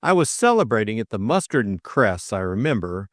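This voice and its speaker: A man playing a flippant, drowsy young gentleman of leisure in an audiobook, with a breezy, bemused and slightly hesitant manner. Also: on the low side, big pitch swings, a little fast, no room sound, studio quality. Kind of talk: audiobook character acting.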